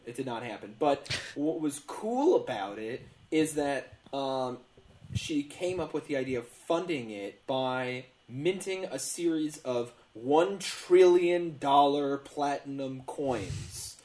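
Halting man with a mid-pitched voice. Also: lively intonation, darkish tone. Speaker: podcast co-host. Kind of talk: casual comedic news explanation.

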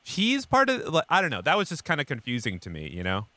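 Bright, high-voiced man with swooping pitch. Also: huge pitch swings, fast talker, dry recording. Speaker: podcast co-host. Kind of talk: casual podcast banter.

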